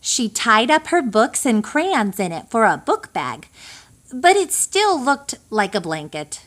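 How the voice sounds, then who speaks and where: A woman with a fairly high voice reads a picture book to young children. Her pace is very unhurried and her pitch moves in lively swoops. She tells the story expressively and deliberately. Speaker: storybook reader.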